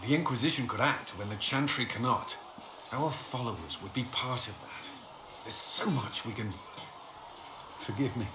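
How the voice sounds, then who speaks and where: An actor playing an earnest, dutiful male military commander in a video game, speaking in a serious, measured way. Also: mid-range voice, lightly acted. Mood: earnest, grave. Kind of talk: video game dialogue acting. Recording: roomy echo with clear background noise.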